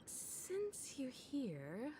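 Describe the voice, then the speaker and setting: A calm, perceptive woman from a game. Her tone is warm and mellow, her phrasing polite and composed, with faint noise behind it. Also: high voice, extremely slow, full character voice. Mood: relieved, courteous. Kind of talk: scripted game dialogue.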